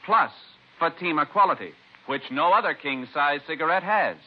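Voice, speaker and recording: A man's voice: a little high, expressive, normal speed. An American man reading a 1950s radio advertisement, listing facts with emphasis. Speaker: commercial announcer. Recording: muffled old radio recording.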